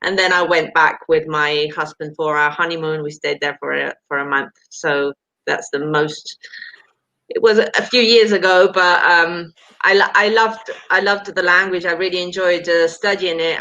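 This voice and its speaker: A woman's voice: on the low side, fairly even intonation, normal speed, sharp tone, poor audio. A woman from Italy with an English accent, a language teacher chatting on a live call and correcting herself as she goes. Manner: chatty, self-correcting, laughing between thoughts. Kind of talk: casual conversation on a live call.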